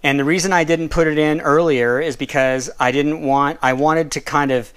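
A man giving a relaxed, casual cooking demonstration at a kitchen stove, explaining each step with the odd filler and self-correction. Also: a little high, normal speed, clean tone. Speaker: cooking and nutrition instructor. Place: home kitchen at a gas stove, seen from overhead.

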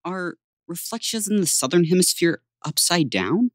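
A woman's voice: fairly low pitch, animated, moderate pace, no room sound, studio quality. A woman playing an earnest, literal-minded, matter-of-fact young boy in an audiobook. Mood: earnest, dry. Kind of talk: full-cast audiobook acting.

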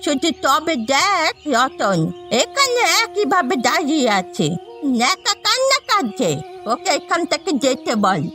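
A woman's voice: very high voice, sing-song, unhurried, pushed and bright, faint music behind, heavily acted. A woman voicing a proud, upset village woman in a dramatic cartoon. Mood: scornful.

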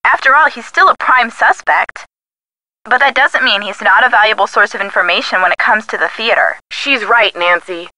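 A woman's voice: fairly high pitch, moderate pace, sharp tone, rough recording. An actress playing a detective's chatty, witty young female friend in a video game, with a playful, teasing manner. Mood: animated. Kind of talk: scripted video game dialogue.

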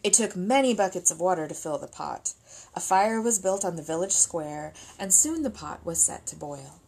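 A woman's voice: lowish voice, animated, moderate pace, gravelly, darkish tone, breathy edge, rough recording. A middle-aged woman reading a picture book aloud expressively as a storytime reader, indoors at a table.

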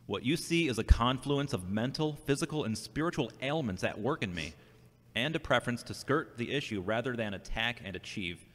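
A man's voice: mid-range voice, lively intonation, fairly quick, natural voice. An actor playing an earnest, defensive, self-doubting man recovering from a brain injury in a scripted audio drama. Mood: downcast, self-critical. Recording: long hall-like reverb.

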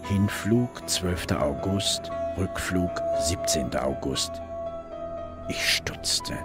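A brooding male detective telling his own case as radio drama narration. His voice is extremely low, and music plays prominently behind it. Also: wildly swooping pitch, slow, exaggerated voice. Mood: brooding, measured. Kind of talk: scripted first-person crime drama narration.

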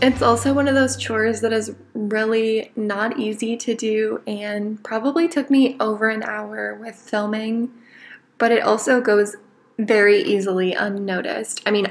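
Young woman vlogger, relaxed candid voice-over, a little self-deprecating, mid pitch. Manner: casual, candid and lightly self-mocking. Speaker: cleaning vlogger. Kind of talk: voice-over narration of a cleaning video.

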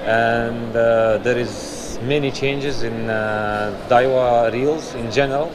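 A man in his thirties walks a big indoor expo hall and talks into a chest-mounted camera, his mid-pitched voice full of "uh"s and restarts. Steady air-conditioning hum and hall noise sit clearly under his voice. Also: takes it slowly. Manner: filler-heavy, frequent "uh" and restarts. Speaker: fishing channel host. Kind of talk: walkaround expo review. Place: large indoor exhibition hall. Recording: noisy expo hall ambience. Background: hall ambience and air conditioning hum.

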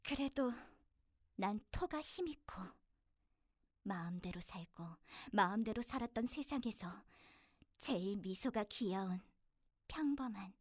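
A woman voicing a wounded teenage villain girl in an anime, bittersweet and sincere, her halting, emotional delivery often breathy and dropping toward a whisper. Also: mid-range voice, expressive, no echo, clean studio sound. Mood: wistful, fading. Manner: halting, emotional, swinging from intense to tender. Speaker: voice actor recording a sample. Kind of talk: emotional anime voice acting with inner narration.

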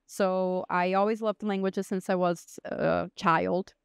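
Brazilian woman, smooth clear voice. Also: fairly low pitch, flat delivery, slow pace, dry recording, studio quality. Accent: Brazilian Portuguese. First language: Portuguese. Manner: chatty, frequent fillers and restarts. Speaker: guest security expert. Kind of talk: casual podcast interview.

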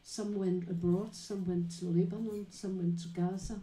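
A woman moderating a live panel talk, introducing guests and asking questions in a measured, explanatory way with many pauses. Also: mid-range voice, fairly even intonation, dark tone. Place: small dim venue with live audience. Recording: echoey room recording.

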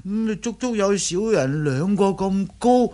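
A cringing young disciple in a radio play. His voice is high and slow, full of stammers and exclamations. He boasts and grovels in the same breath. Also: expressive, dark tone. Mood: boastful, fawning. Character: servile, boastful, cowardly young Shaolin disciple man. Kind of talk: Cantonese radio drama acting.

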